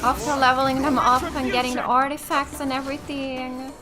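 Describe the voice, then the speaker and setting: A woman's voice: high voice, flat delivery, brisk. A woman from Finland, giving casual gameplay commentary as a let's play host. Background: game music.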